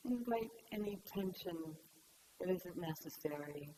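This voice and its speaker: A woman's voice: lowish voice, lively intonation, rough recording. Woman guiding meditation, hissy recording. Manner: gentle, unhurried instruction with long pauses. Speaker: meditation teacher. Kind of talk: guided meditation. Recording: clear background hiss.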